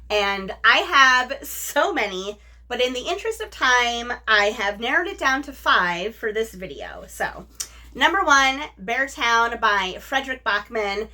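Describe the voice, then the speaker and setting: Bright, high-voiced woman chatting enthusiastically. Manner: enthusiastic, gushing. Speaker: book reviewer and video host. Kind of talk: casual video chat to viewers.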